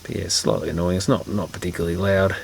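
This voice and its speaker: A man with a lowish, creaky voice talks casually through hands-on repair work. He mutters partly to himself as he goes. The recording is noticeably noisy, with small clicks. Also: fairly even intonation, quick pace. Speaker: repair video presenter.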